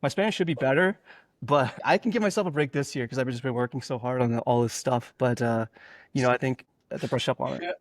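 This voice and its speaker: A man's voice: mid-range voice, fast talker, no room sound. Laidback guy on a podcast, cracks jokes, lots of likes and ums, dry sound. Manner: laid-back, joking, filler-heavy. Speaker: podcast host. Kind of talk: casual podcast chat.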